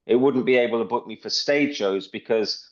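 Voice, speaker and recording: A man's voice: medium pitch, normal speed. Dull-sounding man giving an informal demo. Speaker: presenter demonstrating a phone assistant. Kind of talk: informal product demo talk. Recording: dull lo-fi audio.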